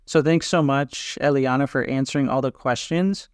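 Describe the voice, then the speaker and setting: A man's voice: mid-range voice, normal speed, smooth, no room sound, clean studio sound. A man hosting a podcast interview, asking scripted questions in an upbeat way. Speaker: podcast host.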